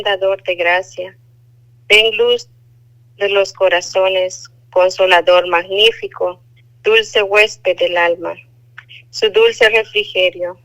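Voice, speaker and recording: Slow, devout woman on phone. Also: medium pitch, pushed and bright, rough recording. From Guatemala. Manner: measured, devout, with hesitant fillers. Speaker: caller giving a religious testimony. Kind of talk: testimony and recited prayer. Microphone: phone line.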